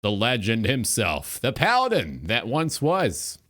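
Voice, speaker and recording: Casual male host, middling pitch. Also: wildly swooping pitch, measured pace, fairly bright tone. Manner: rambling, self-correcting, wry. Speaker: talk show host. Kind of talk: casual, profane show chat with loose introductions.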